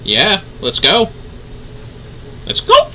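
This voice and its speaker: A man's voice: sits high, wildly swooping pitch, very slow, bright, forceful tone, a little hiss or hum. A man streaming a game with casual, flippant, wry commentary.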